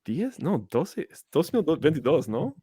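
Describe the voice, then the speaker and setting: A man in his thirties, a school teacher, chatting as a podcast co-host and telling stories at a relaxed, drawn-out pace. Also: fairly high pitch, husky, dry recording, clean studio sound. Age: 30s. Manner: relaxed, drawn-out storytelling, switching into Spanish. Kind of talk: casual chat.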